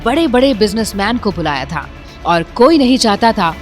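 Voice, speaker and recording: A woman's voice: mid-range voice, big pitch swings, normal speed, soft background music. A woman narrating a scripted audio drama with dramatic storytelling.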